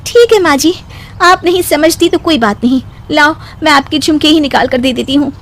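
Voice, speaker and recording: A woman's voice: sits high, moderate pace, a bit bright, a little hiss or hum. A woman voicing a feisty, exasperated young daughter-in-law with a pointed way of talking in a cartoon comedy story. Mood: exasperated, restrained. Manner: pointed, complaining.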